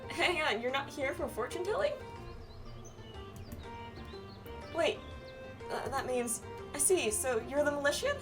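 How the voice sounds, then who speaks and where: A woman's voice: sits high, lively intonation, moderate pace. A woman voicing a nervous, flustered young male astrologer in a game, hesitant and stammering. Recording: distant mic, game music behind.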